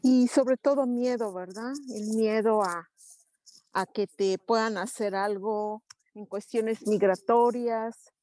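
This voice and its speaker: A woman in her fifties from Mexico City, a guest introducing herself in an unhurried, chatty way. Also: fairly high pitch, fairly even intonation, warm tone, dry recording, low-quality recording. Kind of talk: conversational interview.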